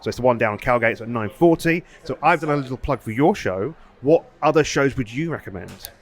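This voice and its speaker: Male podcast host, mid-pitch, casual, talks in loops and restarts. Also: expressive, fairly quick. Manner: rambling, restarts, asks long questions. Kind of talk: casual interview chat.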